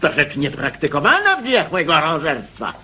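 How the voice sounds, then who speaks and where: A man playing a pompous, excitable party organiser who boasts and bustles, in a radio comedy. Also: a little high, brisk, slight background noise, full character voice. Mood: self-important. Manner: pompous, excitable, self-important. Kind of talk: theatrical radio comedy acting.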